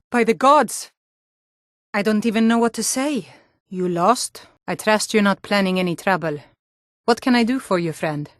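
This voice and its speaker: A woman's voice: on the low side, dry recording, clean studio sound, close to an everyday voice. A woman playing a plain-spoken, sturdy Nord townswoman and guard in a fantasy video game, with a firm, direct manner. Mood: awed, alarmed, then welcoming.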